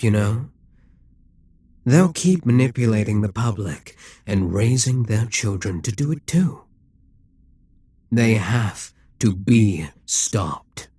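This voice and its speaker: A man playing a smug, dominant Demon King in a dramatic ASMR roleplay, speaking in a husky, taunting, persuasive way with deliberate pauses. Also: mid-range voice, warm tone, no echo. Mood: persuasive, coaxing.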